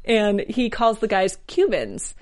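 A woman's voice: medium pitch, big pitch swings, takes it slowly, a little mellow. A woman co-hosts a recap in a casual, conversational way. She leans on "like" as she retells scenes. She often slips into characters' lines as quotes. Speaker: host recapping a TV episode. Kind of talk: casual chat.

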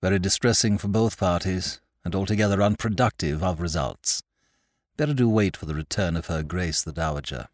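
A man voicing a calm, discreet manservant who speaks in a measured, deferential, formal way. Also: low-pitched, no room sound, studio quality, voice pushed down, lightly acted.

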